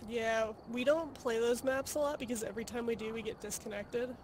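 A man chatting excitedly with friends while playing a co-op game over voice chat. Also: very high voice, fairly quick, slight background noise. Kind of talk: casual gaming chat.

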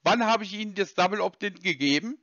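A man's voice: high-pitched, fast talker, fairly bright tone, no echo. A man grilling a cold caller with insistent, formal questions on a phone call. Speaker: scam-baiting caller.

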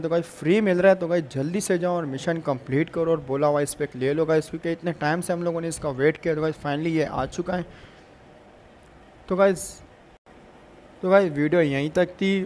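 A young man with a somewhat high voice gives casual gaming commentary. He talks to his viewers informally, like a YouTuber sharing news. The voice-over has faint noise behind it. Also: darkish tone. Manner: addresses viewers informally and repeatedly. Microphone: phone screen recorder voice-over.

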